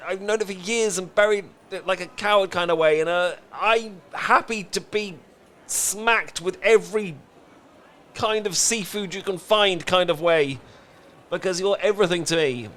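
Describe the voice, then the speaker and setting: A man's voice: high voice, normal speed, a bit bright. A man with a British accent playing a flustered, lovestruck best friend in a romantic comedy audio roleplay, stammering and earnest. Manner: stammering, rambling, earnest confession.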